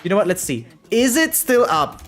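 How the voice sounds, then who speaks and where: A streamer with a medium-pitched voice giving casual reaction commentary, reading aloud and chatting in an animated, exclamatory way, often checking in with "right?". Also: moderate pace. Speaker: variety streamer. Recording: music behind the voice. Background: music.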